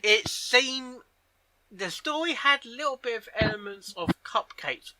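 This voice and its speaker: A man giving casual reaction commentary on a story, halting and often repeating his phrases as he goes. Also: very high-pitched, expressive, a loud crowd, poor audio. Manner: halting, repeats and rephrases himself. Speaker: reaction video host.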